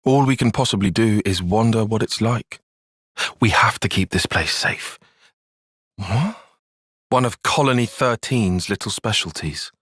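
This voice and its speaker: A man's voice: on the low side, measured pace, a bit bright, breathy edge, dry recording. A man playing an analytical, guarded young soldier strategist in a video game, speaking precisely and articulately with a dry, sarcastic edge. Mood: quiet, reflective. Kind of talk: video game cutscene voice acting.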